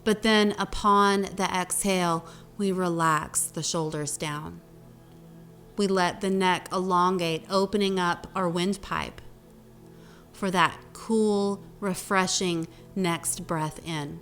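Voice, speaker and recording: A woman leading a guided meditation as a teacher, speaking gently in short phrases with long pauses between them. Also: on the low side, steady tone. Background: meditation music.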